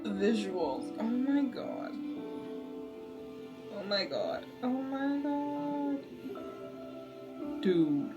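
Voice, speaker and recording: A woman giving casual live reaction commentary to a series, informal and halting, excitable, often repeating herself. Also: a little high, lo-fi sound. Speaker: reaction video host. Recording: music from the watched show behind.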